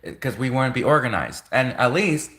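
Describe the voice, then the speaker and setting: A man's voice: mid-range voice, lively intonation, a little fast, a bit bright. A man who is a native Russian speaker, talking casually on a livestream in an earnest, rambling way and repeating phrases for emphasis. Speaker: livestream host.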